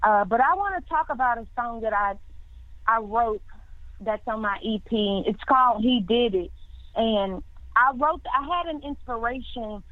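A woman's voice: a little high, normal speed, no echo. Young woman on a tinny phone line. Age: 20s. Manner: warm, faithful, repeats words and restarts often. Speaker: singer guest. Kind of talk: casual interview answers.

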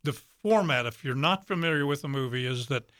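A man's voice: medium pitch, animated, fairly quick, a bit bright, no room sound, studio quality. A man explaining things as a theater guest in a radio interview.

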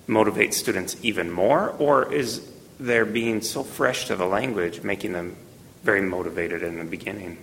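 Brief: male interviewer with a low, gravelly voice, measured questions, reverberant room. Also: fairly bright tone, a little hiss or hum. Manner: measured, with brief listening affirmations.

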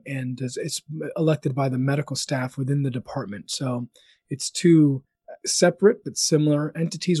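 A man's voice: mid-range voice, darkish tone, slightly rough, no echo. A man from Florida, a surgeon, talking casually as a podcast guest with many fillers and restarts. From Florida, United States. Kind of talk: casual interview talk.

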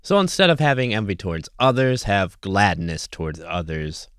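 A man with a lowish voice talks solo as a podcast host. His delivery is casual, earnest and motivational. The sound is dry, with no room on it. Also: expressive, moderate pace, a bit bright, smooth, no room sound.

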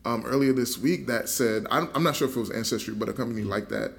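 A man hosting a casual conversation, reacting with quick interjections and laughs. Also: medium pitch, vocal fry. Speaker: host. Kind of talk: casual chat.

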